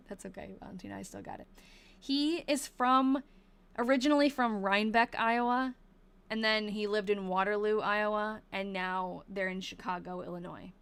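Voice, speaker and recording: A woman from Massachusetts hosting a live stream, reading an old diary aloud in a casual way, thinking out loud and slowing down over hard words. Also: medium pitch, steady tone, clean tone.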